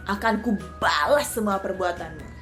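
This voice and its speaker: A woman's voice: medium pitch, normal speed, fairly bright tone, faint music behind, lightly acted. A woman voicing a gullible, hungry crocodile in a children's storybook, blunt and naive. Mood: indignant. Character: gullible, hungry crocodile, grumpy but trusting.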